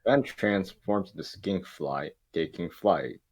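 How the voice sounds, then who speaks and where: A man with a low voice reads out scripted stage directions. His reading is amateur and stumbles over the wording. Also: slow pace, darkish tone, breathy edge. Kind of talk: scripted narration in an amateur table read.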